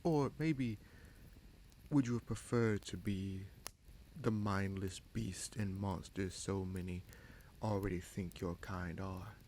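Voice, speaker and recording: A man playing a bitter, scheming prince in a fantasy audio roleplay, speaking deliberately with sardonic menace and dramatic pauses. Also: low-pitched, fairly even intonation, a little mellow, slight background noise. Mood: taunting. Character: bitter, scheming bastard prince commanding a captive dragon.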